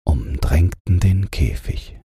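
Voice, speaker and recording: A man narrating a literary audiobook for bedtime, in a calm, measured storytelling manner. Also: low-pitched, breathy edge, dry recording, studio quality.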